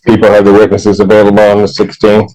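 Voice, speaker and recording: Low-voiced man presiding as judge. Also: monotone, fast, mellow, low-quality recording. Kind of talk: presiding over a court hearing. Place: courtroom.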